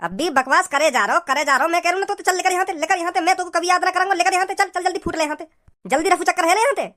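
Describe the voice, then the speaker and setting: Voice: extremely high pitch, very fast, sharp tone. A performer voicing an angry, scolding village father in a comedy cartoon, with a Braj accent and a dismissive, repetitive nagging manner. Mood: irritated. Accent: Braj, western Uttar Pradesh.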